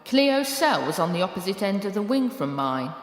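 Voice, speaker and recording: In a reverberant, echoey space, a loving and clever rescue dog tells its own storybook tale, voiced by a woman. The accent is British and the pitch sits in a middle range. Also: sing-song, normal speed, natural voice. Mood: urgent, determined. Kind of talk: first-person storybook narration. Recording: echoey room with long reverb.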